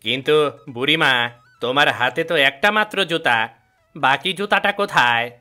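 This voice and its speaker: A crafty, sneering village cobbler man, voiced by a woman, with a low-pitched, forceful voice that swoops about. He sounds smug and mocking, a cartoon rival, with faint music behind. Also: animated, moderate pace. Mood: dismissive. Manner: mocking, chuckling, swooping delivery. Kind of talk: children's fairy-tale cartoon acting.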